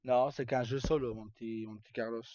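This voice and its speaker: A man's voice: mid-range voice, fairly even intonation, fairly quick, a little mellow, dry recording, lo-fi sound. A man streaming a game, chatting informally and muttering asides as he plays. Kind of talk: casual chat while playing.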